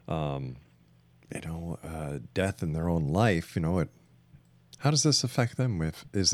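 A man with a fairly low voice hosts a radio interview in a dry, close sound. He phrases his long questions deliberately, with a halting delivery. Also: no room sound. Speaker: radio show host, interviewer.

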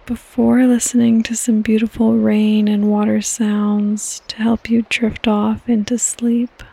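A woman hosting a guided sleep meditation in a soft, breathy, partly whispered voice, with calm, gentle instructions and long pauses. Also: on the high side, no echo, rough recording. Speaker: podcast host and meditation guide.

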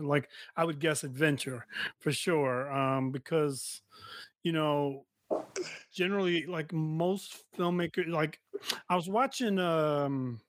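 A male podcast host with a slightly high voice asks long, wandering questions. He restarts his sentences and fills gaps with "um" and "like." The recording is close and dry. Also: no echo. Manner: rambling, with many restarts, likes and ums. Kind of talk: podcast interview.

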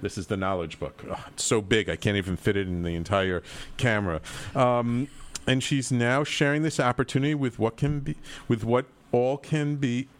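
A man's voice: mid-range voice, moderate pace. A man hosting a talk show, talking in a rambling, earnest way with repeated words. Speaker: host. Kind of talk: casual talk show chat, livestream.

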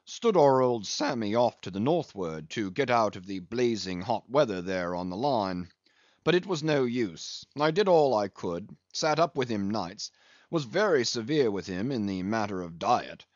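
A man voicing a solemn English ship's surgeon in an audiobook, deadpan, measured and drily pompous. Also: fairly low pitch, no room sound, highs cut off. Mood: grave.

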